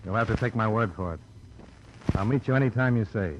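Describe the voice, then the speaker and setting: A man's voice: fairly low pitch, a little fast, highs cut off, heavy background noise, natural voice. A man playing a tough, wry American insurance investigator in a 1950s radio detective drama, acting and narrating. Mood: curt, skeptical.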